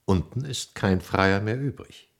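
A man acting a mysterious, shrewd stranger in a German radio play, dry, sly and politely insolent, with a rough, gravelly voice. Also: fairly low pitch, unhurried. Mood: dry, matter-of-fact.

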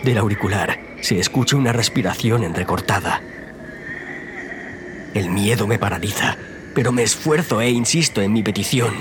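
A man narrating a first-person horror story in a dramatic, suspenseful, theatrical manner. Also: lowish voice. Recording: loud music under the voice. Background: loud music.

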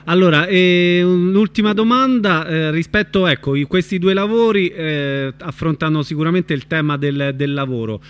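A man's voice: fairly high pitch, normal speed, few pauses, smooth, dry recording. A man interviewing a guest informally, putting his questions together in tentative, broken phrases. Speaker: radio interviewer.

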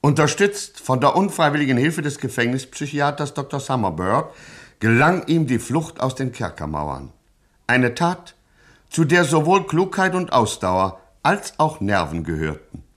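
Grandiose mock-epic radio narrator. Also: male voice, medium pitch, very animated, unhurried, a bit bright. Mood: mock solemn. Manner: solemn, pompous, tongue-in-cheek. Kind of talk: scripted radio play narration.

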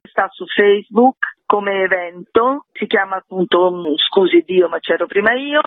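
A woman's voice: mid-range voice, unhurried, dry recording. A woman explaining things in an informal, self-ironic way as a theatre guest in a radio interview over the phone. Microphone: phone call to a radio show. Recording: tinny, muffled phone line.

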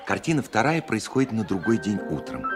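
An actor playing a grown composer who recalls his childhood opera in a radio play, narrating in a wry, expressive way. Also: lowish voice, fairly quick, a crowd in the background. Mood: amused recounting. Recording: 1970s studio record with prominent music.

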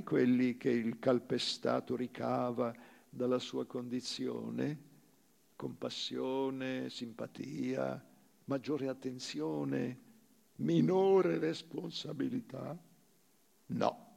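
A man giving a dramatic literary reading on stage, with deliberate, measured phrasing and weighty pauses. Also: medium pitch, vocal fry, a little mellow. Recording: reverberant hall, live stage reading.

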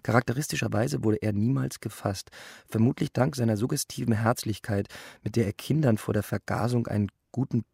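A man's voice: medium pitch, fairly quick, gravelly, few pauses, darkish tone, slightly rough, no echo. A man reading literary stage directions as a narrator.

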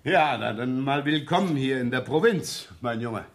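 An actor playing a scruffy, easygoing police inspector in a radio crime drama, talking in a wry, rambling, colloquial way. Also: male voice, medium pitch, animated, normal speed, slight background noise, natural voice. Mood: wry, chatty. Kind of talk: 1970s German radio crime drama acting.

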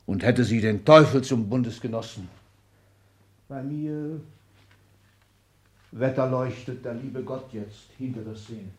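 An actor playing a grim, agitated and defiant grandee in a 1960s German radio drama, declaiming urgently. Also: male voice, mid-range voice, measured pace, long pauses, husky.

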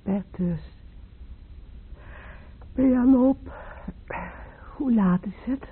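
A woman's voice: a little high, very slow, breathy edge, heavily acted. An actress playing a dreamy, clinging, lonely married woman in an intimate radio drama. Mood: drowsy. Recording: muffled phone-like old radio recording, noisy.

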